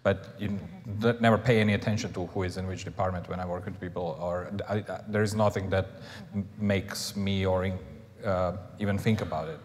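A man's voice: sits low, fairly even intonation, fairly quick, long reverb tail, a little hiss or hum. A man in his forties on a panel who speaks with frequent clipped false starts. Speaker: professor on panel. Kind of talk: panel discussion. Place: large auditorium stage. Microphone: lapel mic.

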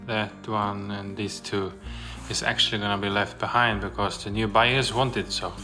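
A young adult man talking casually as a vlogger, in a halting, reflective way. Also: on the low side, level tone, bright, forceful tone, faint music behind. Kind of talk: casual vlog narration.

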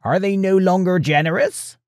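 A croaking old beggar from a storybook tale, played by a male narrator, pitched well above an everyday male voice. He wheedles slyly and meekly, with a creak in every phrase, in a dry close recording. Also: normal speed, a little mellow, no room sound, natural voice.

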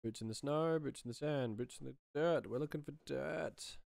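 A man in his thirties, a sound designer, thinking aloud casually in low-key short fragments as he works in a small studio. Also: normal speed, vocal fry, a little mellow, no room sound. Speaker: sound designer, tutorial host. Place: small home studio room indoors.

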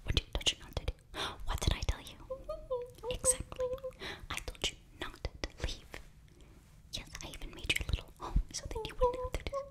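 Young woman, close-miked whisper for fantasy ASMR roleplay; flustered, halting, apologetic student sorceress. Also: very high-pitched, flat delivery. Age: young adult. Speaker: ASMR performer. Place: small indoor bookshelf set. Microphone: very close ear-to-ear microphone.

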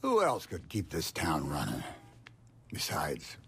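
Husky tough mayor, folksy n smug, wry, pitch moves around a lot, game cutscene. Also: male voice, wildly swooping pitch, slow pace, a bit bright, heavily acted. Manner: wry, self-assured.

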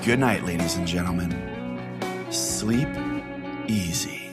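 A man's voice: low-pitched, slow. Male podcast host, mock-pompous, self-important, comedic call-in show, music playing behind.

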